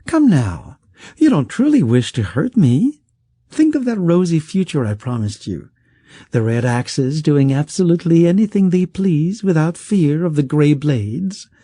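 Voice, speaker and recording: A silky, condescending rich wizard who schemes and wheedles, as an audiobook narrator puts him on. His voice sits higher than the narrator's own, lively and swooping, soft-toned and a little husky. Dry, close recording. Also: unhurried, dry recording, heavily acted. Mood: coaxing.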